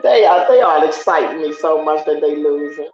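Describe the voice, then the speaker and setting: Deep raspy woman, roomy sound. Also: normal speed, soft background music. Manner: laughs often, loud and teasing. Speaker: guest on online sports talk show. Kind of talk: casual chat. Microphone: online call. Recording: roomy, dull lo-fi online call audio.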